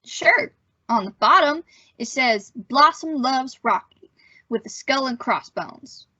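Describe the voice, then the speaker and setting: A woman's voice: high-pitched, lively intonation, takes it slowly, sharp tone, slightly rough, no echo, heavily acted. A woman playing a cheeky, put-upon teenage son in an old-time radio sitcom, plaintive and wisecracking. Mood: eager, cheeky. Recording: muffled recording with faint vehicle noise.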